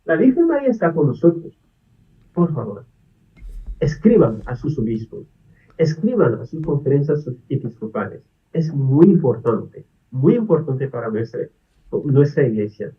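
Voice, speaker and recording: Non-native priest, earnest and pleading, high lively voice, distant muffled recording. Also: male voice, unhurried. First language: not Spanish. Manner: earnest pleading, repeated questions. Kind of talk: video appeal to the faithful. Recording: distant dull lo-fi video audio.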